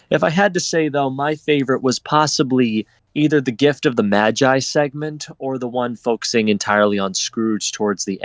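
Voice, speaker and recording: A man's voice: medium pitch, no room sound. A man speaking as a guest on a casual panel, in a wordy, effusive way with florid phrasing. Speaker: panelist, fan audio drama contributor.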